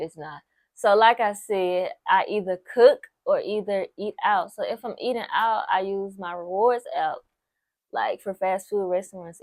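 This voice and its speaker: A woman's voice: medium pitch, no room sound. Young woman vlogger, nineteen, chatty and encouraging, dry close recording. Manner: chatty, repeats herself for emphasis, lots of "like" and "so, yes"; encouraging, speaks straight to the viewer. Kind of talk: casual advice talk to camera.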